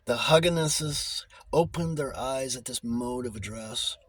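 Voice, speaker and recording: A man narrates literary fiction as an audiobook reader. His voice has a husky grain and a middling pitch. He takes the text at an unhurried pace. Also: a bit bright, no echo.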